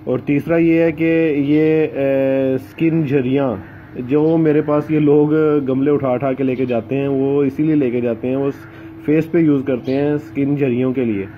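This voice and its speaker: A man's voice: medium pitch, fairly even intonation, dull audio, a little hiss or hum. Hesitant man filming his plants. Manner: hesitant, many filler sounds and pauses. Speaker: gardening channel host. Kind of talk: casual home gardening vlog. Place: outdoors among potted plants.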